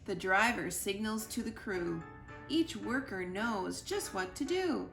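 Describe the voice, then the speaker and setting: A woman reading a children's picture book aloud in an expressive storytime style, with deliberate, playful emphasis on the rhyming words. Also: mid-range voice, gravelly. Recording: roomy echo with music behind. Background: music.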